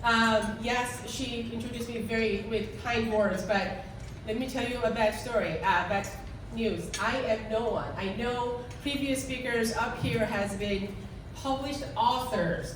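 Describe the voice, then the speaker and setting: A woman's voice: on the high side, noisy background. Korean-accented woman speaking in echoey hall. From South Korea. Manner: earnest, halting speech with restarts. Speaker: guest speaker. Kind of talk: speech to a club audience. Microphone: handheld mic. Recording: echoey room, recorded from far off.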